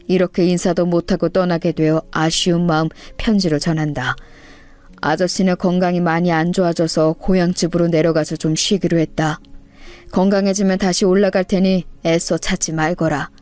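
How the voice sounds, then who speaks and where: A woman voicing an elderly male school guard, gruff but tender, reading out his slow, plain-spoken farewell letter in a story. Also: lowish voice, little pitch movement, darkish tone, soft background music, close to an everyday voice. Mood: gruff affection.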